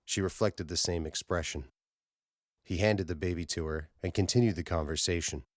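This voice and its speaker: Male audiobook narrator for scripted fiction, low register, dry recording with little room sound. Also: normal speed, no room sound.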